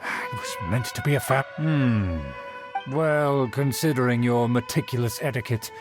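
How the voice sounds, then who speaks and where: A man's voice: mid-range voice, measured pace, music in the background, close to an everyday voice. An actor voicing a haughty male aristocrat in a video game, speaking in pompous, formal, old-fashioned phrases. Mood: surprised, flustered. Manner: pompous, formal, archaic diction. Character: pompous, haughty male aristocrat, secretly eager and easily impressed.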